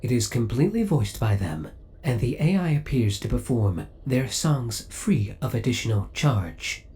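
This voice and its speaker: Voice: mid-range voice, sing-song, moderate pace, mellow, a little hiss or hum, natural voice. An actor voicing a possessive, devoted spaceship AI in an audio roleplay, speaking in a formal, precise, clinical and politely menacing way. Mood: controlling, politely firm. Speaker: voice actor playing a ship's AI. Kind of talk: scripted ASMR audio roleplay acting.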